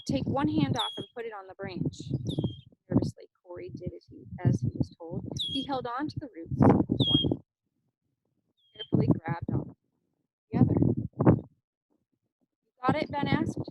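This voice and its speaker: Female storyteller, fairly high and creaky, dramatic read-aloud delivery, slight background noise. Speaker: reader reading a children's novel aloud. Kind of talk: expressive read-aloud narration.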